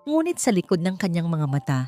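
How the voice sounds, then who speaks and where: Low-voiced woman narrating a dramatic love story. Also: sing-song, brisk, mellow, no room sound, soft background music. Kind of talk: dramatic scripted romance narration.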